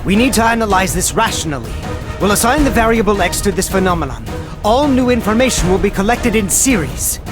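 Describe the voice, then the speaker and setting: An authoritative lead scientist, pitched high for a man and urgent in his delivery. He sounds like a rational commander in an anime game, under very loud music. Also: animated, a little fast. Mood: analytical, tense. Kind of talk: anime game dub acting.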